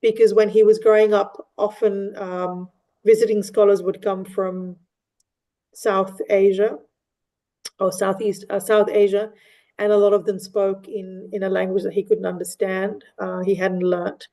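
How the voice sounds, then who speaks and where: Woman, unhurried community speaker, mellow flat delivery, frequent restarts. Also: medium pitch. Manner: hesitant, many fillers and restarts. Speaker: mosque community member. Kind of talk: casual discussion. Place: online meeting.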